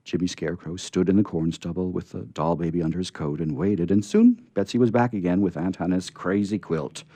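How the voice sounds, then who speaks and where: A man reading a storybook aloud as narrator in a dramatic, expressive way. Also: low-pitched, brisk, no room sound.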